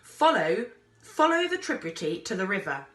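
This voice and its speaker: A woman's voice: medium pitch, big pitch swings, normal speed, a bit bright, reverberant. A woman voicing a daredevil male explorer pilot from a storybook, commanding and a little mad, barking urgent instructions. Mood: urging. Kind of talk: storybook character voice.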